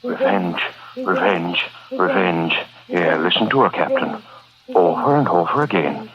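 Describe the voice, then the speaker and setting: Low-voiced, plain-spoken old-time cop. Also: male voice, measured pace, crowd noise. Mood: baffled. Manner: colloquial, emphatic, repetitive. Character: baffled, plain-spoken working-class police officer. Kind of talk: 1940s radio acting. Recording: muffled old radio recording, background commotion.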